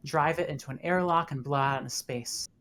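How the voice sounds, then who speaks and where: A woman's voice: low-pitched, fairly quick, fairly bright tone. A woman playing a commanding, skeptical spaceship officer in a table read of a sci-fi horror script. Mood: firm.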